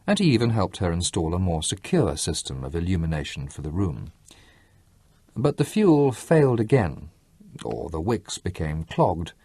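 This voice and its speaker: A man's voice: sits low, a little mellow, husky, dry recording. A man narrating a literary story from a script as an audiobook reader.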